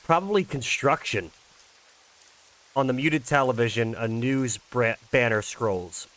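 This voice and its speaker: A man with an average-pitched voice presents his own visual novel. He speaks as an amateur creator, moving between casual explanation and read-aloud story narration. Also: a little slow, fairly bright tone, low-quality recording.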